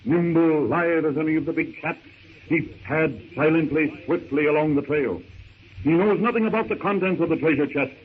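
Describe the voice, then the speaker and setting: A man's voice: fairly high pitch, normal speed, phone-quality sound, lightly acted. A man narrating a 1930s radio adventure serial in a theatrical, suspenseful, declamatory manner. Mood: dramatic. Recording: thin, noisy 1930s radio recording.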